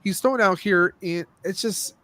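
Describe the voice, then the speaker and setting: A man's voice: high-pitched, normal speed, a bit bright, no room sound. A man hosting a casual movie review podcast, speaking in a blunt, opinionated and rambling way. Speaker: podcast host.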